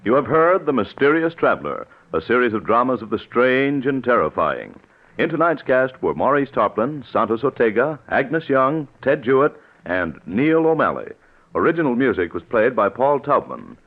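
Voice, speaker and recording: A man's voice: mid-range voice, sing-song, normal speed. A man announcing formally in the style of 1940s radio, as a program announcer. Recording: tinny 1940s radio recording. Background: prominent music.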